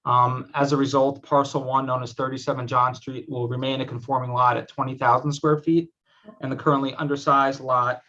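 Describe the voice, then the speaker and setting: Male attorney, medium pitch, polite and businesslike presentation, slightly muffled conference-call sound. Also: flat delivery, fairly quick, sharp tone. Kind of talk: semi-formal explanatory presentation. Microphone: video call. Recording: slightly dull video-call audio.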